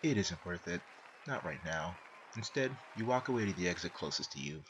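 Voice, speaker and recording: Man as blunt, commanding comic narrator; deep, animated delivery, second-person storytelling. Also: a little fast, some chatter behind, slight background noise. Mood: wry, commanding. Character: deadpan, blunt male narrator commanding the hero.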